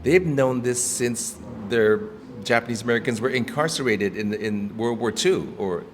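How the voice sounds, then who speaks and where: A man's voice: mid-range voice, normal speed, faint music behind. A man, an actor and activist, talking earnestly and reflectively in a casual interview, with frequent restarts and ums. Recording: roomy reverb with background noise.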